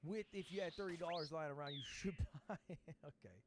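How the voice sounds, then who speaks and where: A man's voice: fairly high pitch, quick pace. A man in a comedy video, reviewing games with sarcastic, swearing asides. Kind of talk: casual comedic video monologue. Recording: video played on stream with faint music bed.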